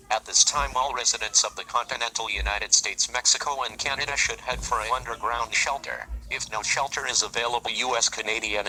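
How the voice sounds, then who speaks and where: Man as a stern, forceful emergency alert announcer. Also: medium pitch, a little hiss or hum, rough recording. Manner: formal, measured, grave. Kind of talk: scripted emergency broadcast announcement.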